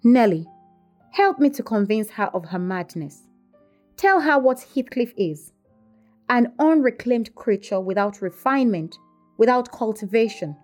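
A woman voicing a proud, scornful young married gentlewoman in an audiobook novel, emphatic and domineering. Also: on the high side. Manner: emphatic, imperious.

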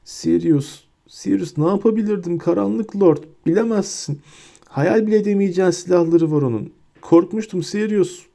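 A man voicing a sniveling, terrified middle-aged traitor who begs and stammers in a high, whining voice. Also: normal speed, vocal fry, dark tone. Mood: pleading.

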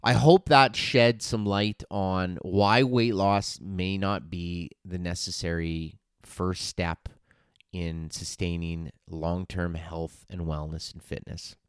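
A man's voice: low voice, fairly even intonation, slow, clear, resonant tone, no echo, clean studio sound. A man in his forties, a fitness coach hosting a podcast, talking in an earnest, motivating way with frequent fillers and restarts. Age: 40s. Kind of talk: casual solo podcast talk.

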